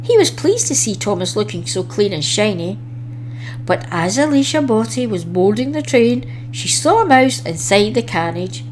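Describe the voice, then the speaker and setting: A woman reading a children's story aloud as an expressive storyteller. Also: medium pitch, normal speed, a little hiss or hum, low-quality recording.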